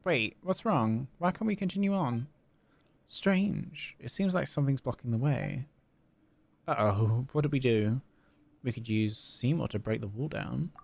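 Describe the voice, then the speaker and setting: A man voicing a small, excitable, inquisitive female game companion who exclaims and asks questions. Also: medium pitch, a little slow, creaky. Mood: puzzled, worried.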